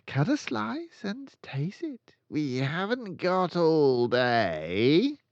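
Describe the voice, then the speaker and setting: Older-style storybook, guy doing a nasty bully headmistress, loud drawn out orders way up high, roomy sound. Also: big pitch swings, highs cut off, full character voice. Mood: crafty, goading. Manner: shouting, drawn-out commanding delivery. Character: Trunchbull, tyrannical bullying headmistress, loud and menacing woman.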